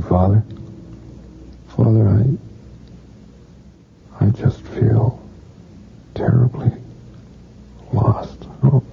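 A haunted man hunting for a vanished lover in a vintage radio horror play. He talks slowly and urgently, then drops into numb, hollow quiet. The recording is dull and lo-fi with steady hiss. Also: fairly low pitch, gravelly. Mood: numb, lost. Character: desperate haunted man searching for lost lover. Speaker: lead character in a radio horror drama. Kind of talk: 1980s radio drama acting.

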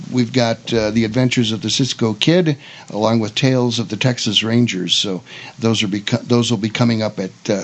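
A man co-hosting a casual radio chat show in a folksy, jokey way. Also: lowish voice.

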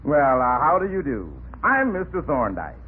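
An actor playing a polite, formal club proprietor in old radio comedy, courteous and proper. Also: male voice, on the high side, wildly swooping pitch, normal speed. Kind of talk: 1940s radio comedy acting. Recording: tinny, noisy old radio recording.

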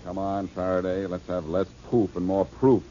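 A man's voice: sits low, flat delivery, normal speed, dark tone, close to an everyday voice. An actor playing a wisecracking male detective in a 1940s radio drama, on a muffled old broadcast. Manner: breezy, wisecracking. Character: Boston Blackie, wisecracking adult male detective.

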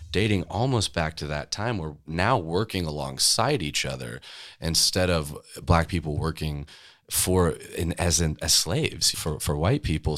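A man's voice: deep voice, a bit bright. A man speaking conversationally and earnestly, reflecting and telling stories in a discussion. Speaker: studio staffer and guide.

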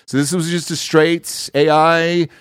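A man's voice: a little high, level tone, measured pace, a bit bright, clean tone, no echo, clean studio sound. A man hosting a podcast in casual, blunt, profane chat, asking plenty of questions. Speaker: podcast host.

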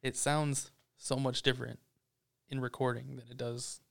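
Gravelly-voiced joking man. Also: medium pitch, flat delivery, moderate pace. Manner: joking, boastful, swears freely. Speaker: podcast co-host. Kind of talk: casual podcast chat. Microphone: headphones on, podcast mic.

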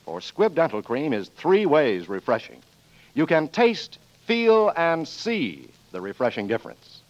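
A man's voice: fairly high pitch, big pitch swings, unhurried. A man doing polished, formal scripted announcing and sponsor reads in 1940s radio style. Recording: dull 1940s radio broadcast recording. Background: music.

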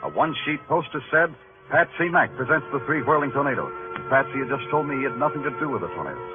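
An actor playing a world-weary, hard-boiled New York detective, wry and terse, in a vintage noir radio drama. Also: male voice, mid-range voice, expressive, fast talker, natural voice. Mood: brooding, wry. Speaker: lead character and narrator. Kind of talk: 1940s-50s noir radio drama narration and dialogue. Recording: tinny old radio recording with music.

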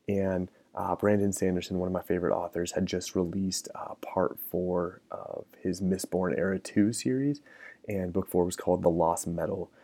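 A man hosting a podcast, talking in a thoughtful, musing way with frequent fillers and restarts, close to the mic in a quiet room. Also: deep voice, fairly even intonation, moderate pace, dry recording. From American Midwest. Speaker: podcast host. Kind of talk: reflective casual monologue.

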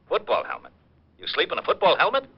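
An actor playing a gruff, exasperated male police lieutenant in a 1940s radio drama, brusque and given to barking orders. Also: fairly high pitch, huge pitch swings, quick pace, full character voice. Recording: dull old radio broadcast.

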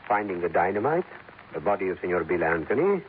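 An actor playing a courteous, methodical Venezuelan police captain, formal and deliberate, in 1950s radio drama style. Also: male voice, low voice, sing-song. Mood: methodical, satisfied. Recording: narrow-band 1950s radio recording, faint noise.

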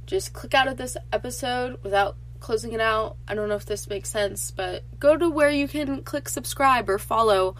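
A woman's voice: fairly high pitch, normal speed, hardly any pauses, a bit bright, a little hiss or hum. A teenage girl hosting a casual solo podcast, nasal and congested from allergies, chatty, with lots of filler words. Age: teens. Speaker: podcast host.

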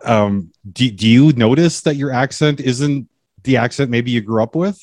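A man's voice: medium pitch, moderate pace, darkish tone, no echo, studio quality. A man hosting an interview podcast, asking curious, rambling questions with frequent restarts. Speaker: podcast host. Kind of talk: casual interview chat.

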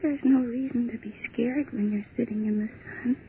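An actress playing a young woman lost in a calm, dreamy delusion, in 1940s radio acting style. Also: on the high side, moderate pace, natural voice. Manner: dreamy, soft, childlike. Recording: tinny, faintly noisy 1940s radio broadcast.

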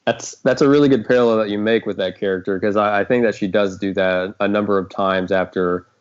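Low-voiced man hosting, slightly muffled. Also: fairly even intonation, fairly quick. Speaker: podcast host, writer. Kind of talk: casual discussion. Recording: dull, lo-fi audio.

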